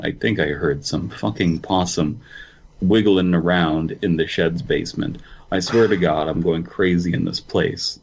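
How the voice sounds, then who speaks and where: A man's voice: sits low, a little hiss or hum. A man co-hosting a podcast, moving between reading stories and casual comedic chat, on a muffled lo-fi microphone. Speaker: podcast co-host.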